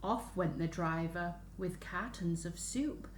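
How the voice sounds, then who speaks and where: A woman reading a picture book aloud to young children in an expressive, sing-song way, stopping to ask them questions. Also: fairly low pitch, slow. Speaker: children's story reader. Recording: roomy echo, faint background noise.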